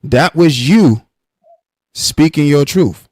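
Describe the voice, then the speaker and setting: A man's voice: fairly high pitch, takes it slowly, darkish tone, no echo, clean studio sound. Blunt, insistent male stream host. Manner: blunt, insistent, repeats himself. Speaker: livestream host. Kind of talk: live stream commentary and argument.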